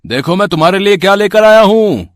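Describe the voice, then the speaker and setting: A man's voice: high-pitched, animated, a little fast, dry recording, studio quality, voice pushed up. A man playing a poor, loving husband in a moral story, speaking earnestly and tenderly. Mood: playful, affectionate. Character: loving, poor husband and worried auto driver. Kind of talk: acted dialogue in a Hindi moral story.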